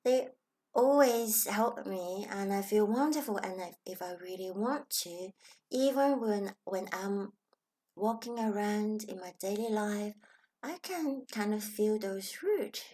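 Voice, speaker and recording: A woman with a Hong Kong Chinese accent speaks slowly and gently in a reflective personal talk. Her voice sits at a middle pitch, unhurried and thoughtful, now and then sinking to a soft whisper. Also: lively intonation. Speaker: channel host.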